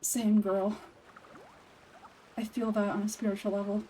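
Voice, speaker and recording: A woman's voice: mid-range voice, little pitch movement, measured pace, mellow, distant mic, slight background noise, low-quality recording. A woman chatting casually as she plays and voices a game, her throat a little tired. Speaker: amateur voice actor voicing game dialogue. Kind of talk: casual game playthrough commentary.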